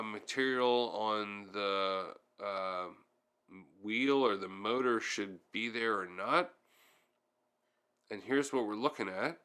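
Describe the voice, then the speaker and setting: Man, low-pitched, crisp and bright, casual repair tutorial host, thinking aloud. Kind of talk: hands-on how-to narration, casual.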